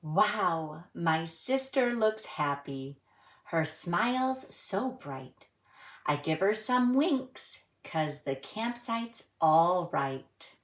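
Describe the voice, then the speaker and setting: Female children's read-aloud host, lowish pitch, unhurried and expressive delivery, roomy echo on the recording. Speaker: story time host reading a children's book aloud. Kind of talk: children's read-aloud storytelling and friendly presenting to young viewers.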